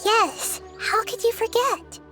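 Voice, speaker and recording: A woman voicing a small, childlike goddess in a game dub, gentle, thoughtful and inquisitive. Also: very high-pitched, takes it slowly. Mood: puzzled. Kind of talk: English game dub acting. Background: faint music.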